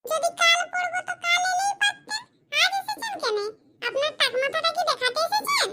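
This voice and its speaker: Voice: very high voice, brisk, sharp tone, soft background music, heavily acted. A performer voicing a cheeky, boastful schoolchild in a comedy cartoon, talking in a cocky, argumentative, colloquial way. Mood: cheeky protest. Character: cheeky, boastful Bengali schoolchild, dim but sly.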